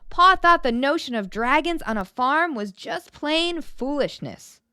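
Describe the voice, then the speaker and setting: A woman narrating a children's picture book in an expressive read-aloud storytelling style. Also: high voice, a little slow, no echo, studio quality.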